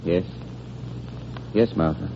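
An actor playing a tender, grieving guardian in an old radio drama, gentle, earnest and theatrical. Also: male voice, low-pitched, slow pace. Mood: grave, worried. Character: tender, grieving guardian promising a fairy-tale journey. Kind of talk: 1940s radio drama acting. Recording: muffled vintage radio recording, music behind.

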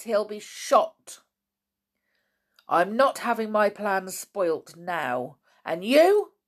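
A woman's voice: deep voice, full character voice. A woman voicing an angry, bullying, scornful man with a revolver in a storybook, barking threats and clipped commands.

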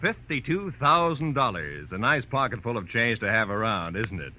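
A male announcer reads in crisp, formal 1940s radio fashion. His fairly high voice is sharp and very animated. The old broadcast is muffled, with faint music. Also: moderate pace. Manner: polished, punchy, formal.

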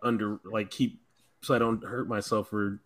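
A man co-hosting a podcast in casual fan chat, telling stories in an animated, slangy and sometimes profane way. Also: on the low side, normal speed, crowd noise. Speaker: podcast co-host.

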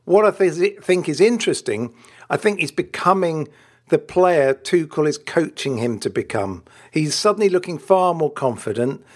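A man co-hosting a football podcast, talking with chatty enthusiasm and frequent laughter. His voice sits fairly high for a man. He often stumbles over and repeats words as he works out his point. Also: animated, moderate pace, darkish tone. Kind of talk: casual chat.

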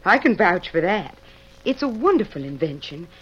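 A woman's voice: lowish voice, very animated, moderate pace, slightly rough. A young woman with an American accent playing a polite, eager publisher's daughter in an old radio western, on a muffled recording. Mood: earnest, eager. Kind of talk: old-time radio drama acting.

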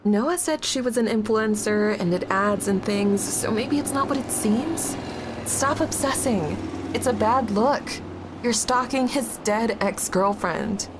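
Audio drama: level-headed, exasperated young woman played by an actress, slow dry cautioning delivery, clear background noise. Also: on the high side, lightly acted. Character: sensible, dry, exasperated friend who bakes at a cafe. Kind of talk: naturalistic audio drama acting.

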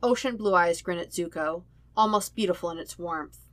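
Low-pitched woman reading a story, close and dry. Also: moderate pace, fairly bright tone, no room sound. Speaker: podfic reader of fan fiction. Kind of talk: scripted story narration.

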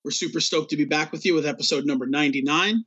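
New guy co-hosting a sports podcast, laid-back rambler, slightly high voice, dry muffled sound. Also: fast, fairly bright tone, slightly rough, dry recording, faint music behind. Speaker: podcast co-host. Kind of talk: casual hockey podcast chat.

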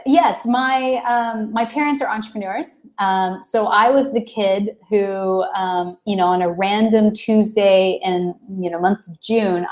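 A woman in her thirties from Texas, chatting casually as an interview guest, full of ums and easy laughter. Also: medium pitch. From Dallas, Texas. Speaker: guest, events company founder.

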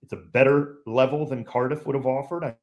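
A man's voice: medium pitch, steady tone, a little fast. Emphatic, opinionated male sports broadcaster. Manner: emphatic, opinionated, repeats points for stress. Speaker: podcast guest, radio play-by-play commentator. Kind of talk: casual podcast chat.

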